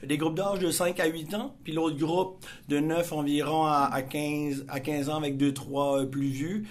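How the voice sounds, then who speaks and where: Flat-voiced island Quebec coach. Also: male voice, a little high, a little slow, slight background noise. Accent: Magdalen Islands French. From Magdalen Islands, Quebec. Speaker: soccer coach. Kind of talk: radio interview answers.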